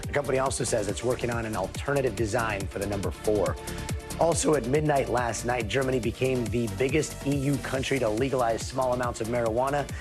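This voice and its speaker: A hoarse man anchoring a news show, reading stories with playful puns in between. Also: medium pitch, brisk, music in the background. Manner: punny, playful asides. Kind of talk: news presenting.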